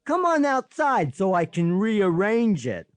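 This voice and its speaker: Man playing a slow, menacing schoolboy bully. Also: sits high, wildly swooping pitch, no room sound, voice pushed up, full character voice. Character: huge nasty eighth-grade boy bully.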